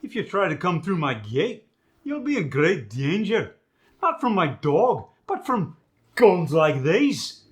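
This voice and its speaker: A man's voice: high voice, very animated, takes it slowly, exaggerated voice. A man playing a boastful Scottish dialect master, demonstrating sounds in an emphatic, swaggering manner for a comic lesson.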